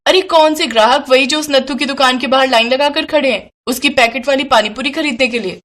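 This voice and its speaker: A woman voicing a worried, blunt village wife in an animated story, sharp and scolding. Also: high-pitched, a little fast.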